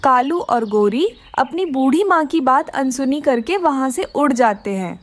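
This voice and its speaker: A woman narrates a scripted moral tale for children. Her voice is high in pitch, in the manner of a cartoon storyteller. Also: lively intonation, unhurried, no echo.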